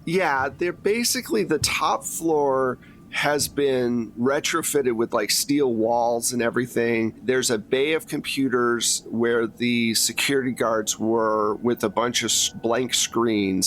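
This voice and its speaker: A man's voice: medium pitch, measured pace, fairly bright tone, slight background noise. A man running a tabletop game as game master, recapping and narrating in an informal, explanatory way.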